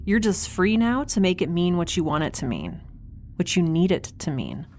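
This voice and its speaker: A frank, principled woman of a starship crew, with a lowish voice. She speaks earnestly and firmly with dry wit, in naturalistic sci-fi audio drama style over a noticeable bed of background ambience. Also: big pitch swings, normal speed, darkish tone, a little hiss or hum. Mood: earnest, encouraging. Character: frank, principled woman, caring space crewmate to a captain.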